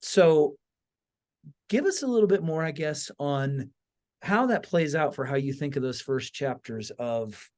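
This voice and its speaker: A man's voice: fairly high pitch, expressive, unhurried, darkish tone. A man hosting a podcast in a casual, conversational style, informal and enthusiastic. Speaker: podcast host.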